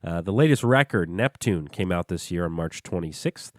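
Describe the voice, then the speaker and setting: A man hosting a music podcast in a relaxed, chatty way, with a stuffed-up voice from a cold and plenty of filler words. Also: low-pitched, normal speed, dry recording, studio quality. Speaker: podcast host. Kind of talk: casual music show chat.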